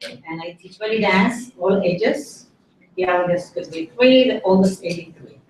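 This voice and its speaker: A woman's voice: mid-range voice, unhurried, a little mellow, a little hiss or hum. Syrian lady w accent, belly dance teacher chatting to a group, far away n echoey. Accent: Syrian Arabic. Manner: chatty, laughing, broken English. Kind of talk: casual group introduction. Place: large rehearsal studio, indoors. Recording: echoey room, far camera mic.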